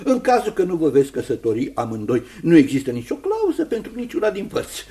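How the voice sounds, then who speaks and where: Fussy, kindly elderly guardian, swooping pitch. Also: male voice, wildly swooping pitch, warm tone. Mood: reassuring, businesslike. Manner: halting, repetitive, chuckling, formal. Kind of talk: radio drama acting.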